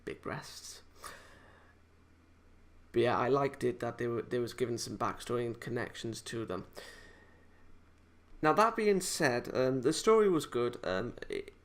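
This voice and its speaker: A man giving a casual, unscripted review in a halting, hesitant, rambling way, often correcting himself as he goes. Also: mid-range voice, lively intonation. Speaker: amateur video game reviewer.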